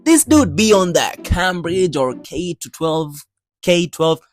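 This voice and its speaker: Animated young man, slangy narrator. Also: a little high, slow pace. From Tanzania. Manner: slangy, joking, expressive, conversational. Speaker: YouTube storyteller. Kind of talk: casual scripted narration for animated video. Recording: background music under the voice. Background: music.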